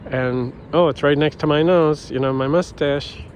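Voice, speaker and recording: A man's voice: a little high, steady tone, normal speed, a little mellow, lo-fi sound, slight background noise. A man vlogging in a rambling, casual way with many fillers and restarts, sounding stuffy and sniffling. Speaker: vlogger, educator. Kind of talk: casual monologue to camera.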